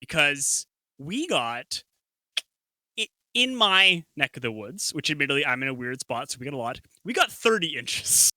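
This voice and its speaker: A man from the East Coast co-hosting a casual podcast, wry and quick with jokes. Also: fairly high pitch, very animated, pushed and bright, no room sound, clean studio sound. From East Coast of North America. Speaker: podcast co-host.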